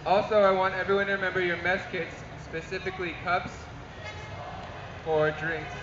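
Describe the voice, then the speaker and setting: A man's voice: high voice, steady tone, background noise. A man from the food committee listing practical needs at an outdoor assembly. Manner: brisk, practical list of needs. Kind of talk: public assembly announcement. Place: outdoor city park assembly. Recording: dull, echoey outdoor camera recording.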